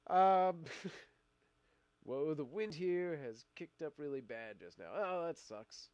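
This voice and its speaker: A man's voice: a little high, slow pace, darkish tone, clear, resonant tone, no echo. A middle-aged man hosting a casual live stream while fighting a cold, rambling with fillers and restarts. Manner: rambling, many fillers and restarts, laughs often. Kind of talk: casual live-stream chat, reading viewer comments.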